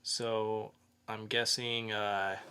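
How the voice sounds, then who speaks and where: A man co-hosting a podcast, talking casually through entertainment news in a halting, conversational way with pauses. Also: lowish voice, flat delivery, bright, forceful tone, clean tone. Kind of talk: casual geek news chat.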